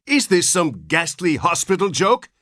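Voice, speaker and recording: A man's voice: high-pitched, big pitch swings, unhurried, a bit bright, no echo, clean studio sound, voice pushed up, full character voice. A man voicing a frantic, horrified middle-aged father in a storybook reading.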